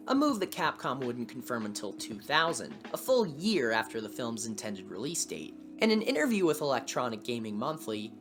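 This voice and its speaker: A woman hosting a video series, reading scripted video essay narration with wry asides. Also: extremely low pitch. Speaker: host of a video series on lost media.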